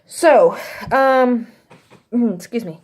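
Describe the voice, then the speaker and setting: A woman's voice: fairly high pitch, slow, a little mellow. A young woman with a slight Texas accent, casually telling a story to camera in a chatty, rambling way with lots of fillers. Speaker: vlogger.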